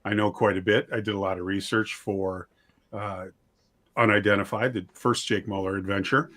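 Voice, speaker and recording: A man's voice: lowish voice, fairly even intonation, moderate pace, fairly bright tone. A man chatting casually as an interview guest, informal and self-deprecating. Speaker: podcast guest, author and audio-play writer.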